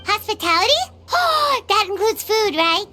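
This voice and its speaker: A woman voicing a small, childlike, excitable fairy companion for a video game, bubbly and food-loving. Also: very high-pitched, a little slow, exaggerated voice. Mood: eager surprise. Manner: bubbly, refers to herself in third person. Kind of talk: video game character acting. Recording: music playing behind the voice.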